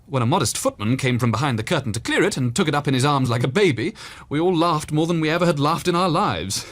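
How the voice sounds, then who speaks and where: An actor playing an eloquent Victorian storyteller, reading literary passages in a measured, expressive and dramatic way. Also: male voice, on the high side, no echo. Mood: amused. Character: Charles Dickens, eloquent Victorian storyteller recounting memories. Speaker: reader of Dickens passages.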